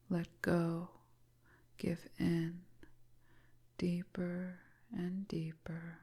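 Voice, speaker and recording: A woman's voice: on the low side, a little mellow, husky, full character voice. A woman voicing a seductive, commanding witch fortune-teller in a whispered hypnotic ASMR roleplay, coaxing her listener.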